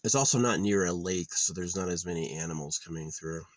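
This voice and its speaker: A young man talking in a rambling, offhand way at a quiet outdoor campsite, often trailing off with filler. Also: deep voice, brisk, no room sound. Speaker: vlogger. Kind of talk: rambling casual monologue.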